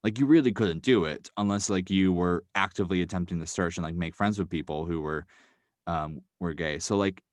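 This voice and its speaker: Deep-voiced man rambling with fillers. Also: a little fast, dry recording, studio quality. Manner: rambling, full of "um" and "like", hedging. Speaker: podcast guest, writer. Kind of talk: casual podcast chat.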